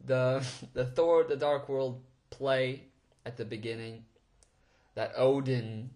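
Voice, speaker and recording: A man's voice: medium pitch, fairly even intonation, slow pace, a little mellow. Young man reviewing films. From Portugal. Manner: enthusiastic, chuckling, with many fillers. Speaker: film reviewer. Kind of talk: casual movie review monologue.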